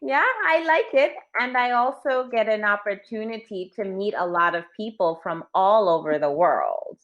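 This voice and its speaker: A woman from New York with a New York accent, an online English tutor speaking slowly and clearly on a video call, encouraging and gently correcting. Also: mid-range voice, dull audio. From New York, USA. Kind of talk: slow, clear tutoring conversation. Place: home teaching room with toys.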